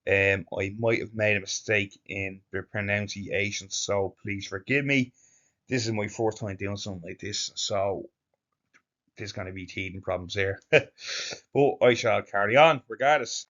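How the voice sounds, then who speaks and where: A man hosting his own channel, reading slides aloud haltingly and adding wry asides. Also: lowish voice, a bit bright, dull audio. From Ireland. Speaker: channel host presenting slides.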